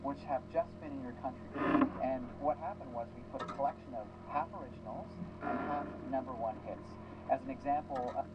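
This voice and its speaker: A Canadian-accented man answers questions in a relaxed, rambling way, full of small restarts. The audio is a dull, lo-fi broadcast capture with clear hiss underneath. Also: mid-range voice, fairly quick. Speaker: guest singer. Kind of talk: casual interview chat. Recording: dull lo-fi TV broadcast recorded off air.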